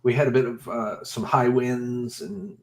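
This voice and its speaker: A man hosting a casual livestream chat with guests, friendly and halting with frequent restarts. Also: mid-range voice, lively intonation, creaky. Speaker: livestream host.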